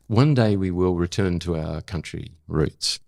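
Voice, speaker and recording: An Australian man of seventy talking reflectively in an interview, in an unhurried, halting storytelling way with emphatic repetition. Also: sits low, creaky, darkish tone, no echo, clean studio sound. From South Australia. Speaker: interview guest, former broadcaster.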